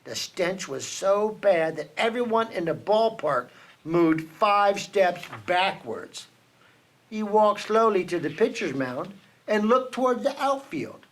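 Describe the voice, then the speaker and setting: Animated, slow-paced male storyteller. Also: high voice. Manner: animated storytelling with dramatic pauses. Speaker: children's book reader for a team reading program. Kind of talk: reading a children's picture book aloud.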